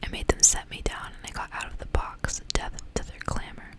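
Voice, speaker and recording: Whispering woman reading a story, ASMR. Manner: whispering, soft and unhurried storytelling. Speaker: ASMR reader.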